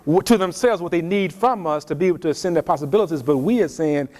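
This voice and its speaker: High-voiced man lecturing emphatically. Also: a little mellow. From Louisiana, USA. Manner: slow, emphatic, preacher-like delivery. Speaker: speaker at a community event. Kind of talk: lecture with call and response.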